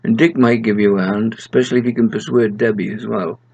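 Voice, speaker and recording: A man's voice: lowish voice, steady tone, fast talker, vocal fry, darkish tone, husky, no echo, lo-fi sound. An older man voicing a reasonable, mildly dry official organiser in a read-aloud story. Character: measured, official male organiser.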